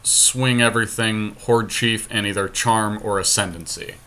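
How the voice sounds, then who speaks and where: A man's voice: fairly low pitch, steady tone, normal speed, sharp tone. A man co-hosting a podcast, giving casual, joking and sometimes sweary commentary as he plays a card game. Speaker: podcast co-host playing an online card game.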